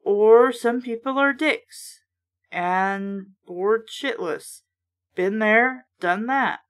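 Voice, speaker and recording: A woman with a fairly high voice reads a storybook and comments on it as she goes. Her manner is candid, sarcastic and exasperated, with casual swearing. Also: very slow. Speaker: book reader and reviewer. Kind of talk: storybook reading with candid asides.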